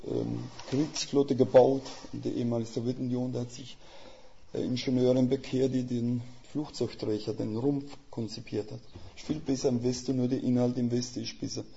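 An older man delivering a sermon in a thick Swiss German dialect, his voice gravelly and mellow. He talks plainly and folksily, with homely images and rhetorical questions. The recording sounds a little dull and lo-fi. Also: medium pitch. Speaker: guest preacher; missionary.

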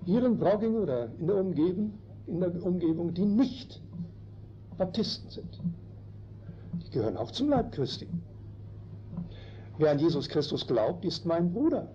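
A man from Canada whose first language is German, preaching a slow, emphatic sermon in a chapel that explains Bible verses. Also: on the high side, mellow. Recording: dull lo-fi recording, faint noise.

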